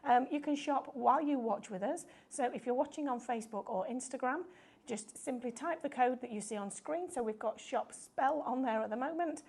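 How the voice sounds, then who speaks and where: A woman's voice: high-pitched, normal speed, darkish tone, breathy edge. Upbeat woman presenting live shopping. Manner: chatty, upbeat, explains step by step. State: slightly croaky voice.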